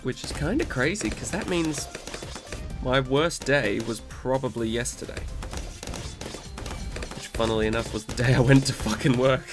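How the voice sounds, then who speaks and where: Laid-back gaming host, congested and nasal, mid-range voice, music bed behind. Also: male voice, mid-range voice, moderate pace, pauses often. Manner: rambling, laid-back, swears casually. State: recovering from a cold, stuffy nose. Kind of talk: casual commentary over gameplay.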